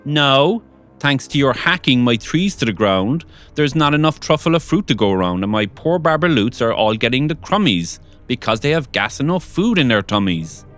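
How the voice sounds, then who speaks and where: A man's voice: expressive, normal speed, faint music behind. A man voicing a small, indignant male forest creature from a storybook, raised and scolding. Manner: scolding, high-pitched and loud. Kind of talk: storybook reading.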